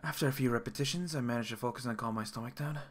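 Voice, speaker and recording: A man's voice: medium pitch, very fast, fairly bright tone. Man reading a story aloud expressively. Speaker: visual novel player reading aloud. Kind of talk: dramatic read-aloud narration.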